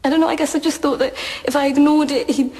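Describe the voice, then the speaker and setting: An actress playing a frightened teenage girl on the witness stand in a courtroom audio drama, speaking haltingly. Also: high-pitched, steady tone, dark tone. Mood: uneasy, subdued. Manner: halting, faltering. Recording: echoey hall reverb.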